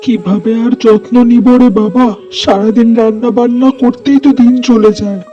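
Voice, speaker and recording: A woman playing a weary, gentle elderly mother-in-law in a cartoon family drama, plaintive and placating. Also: a little high, fairly even intonation, moderate pace, natural voice. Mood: resigned. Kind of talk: animated family melodrama acting. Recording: dull lo-fi sound with music.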